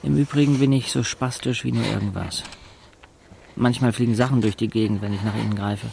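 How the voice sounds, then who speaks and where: A man's voice: medium pitch, fairly even intonation, a little fast, creaky, dark tone, slight background noise, close to an everyday voice. An actor playing a wry, observant teenage boy who narrates an audio drama in a dry, laconic way.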